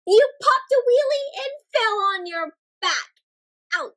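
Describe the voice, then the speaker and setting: A high-voiced woman reads a picture book aloud for children. She tells it expressively, with exaggerated gasps, and often turns to her young listeners with direct questions. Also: expressive, a little slow, fairly bright tone. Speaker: children's read-aloud host.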